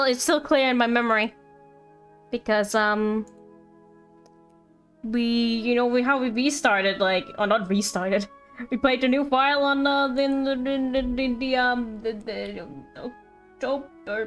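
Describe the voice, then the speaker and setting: Female game streamer, high voice, rambling playful off-the-cuff commentary, soft music underneath. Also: fairly even intonation, measured pace. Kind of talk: casual live gameplay commentary.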